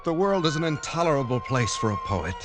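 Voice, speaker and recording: A man's voice: fairly high pitch, big pitch swings, a little fast. A man playing an eccentric, reclusive poet voicing his diary in a 1940s radio drama, theatrical and precise. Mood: exultant, dreamy. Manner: theatrical, precise, self-satisfied. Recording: vintage radio recording with music. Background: music.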